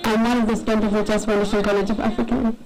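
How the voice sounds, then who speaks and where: A young student reads out formal thanks in an even, flat tone. Music plays clearly behind him. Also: male voice, sits high, rapid-fire, poor audio. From Ethiopia. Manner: recites a list, formal thanks.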